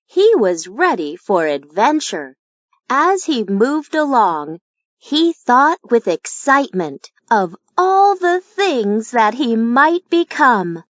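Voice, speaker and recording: A woman reads a children's picture book aloud in an unhurried, gentle storytelling manner. Her pitch moves expressively through each line. The recording is dry and slightly dull. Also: sing-song, no echo. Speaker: storybook reader. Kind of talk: children's read-aloud narration.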